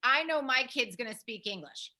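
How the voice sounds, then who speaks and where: A woman's voice: on the high side, expressive, moderate pace, pushed and bright. A young American woman co-hosting a casual advice chat, speaking emphatically and repeating her points. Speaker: host, language program founder.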